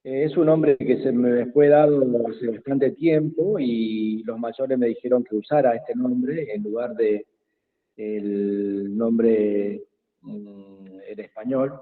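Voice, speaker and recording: A man whose first language is Quechua, speaking slowly and hesitantly as a guest in an online workshop, with many pauses and fillers. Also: medium pitch, steady tone. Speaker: invited speaker. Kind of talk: slow reflective talk in an online workshop. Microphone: video call. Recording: tinny phone-like call audio with long reverb.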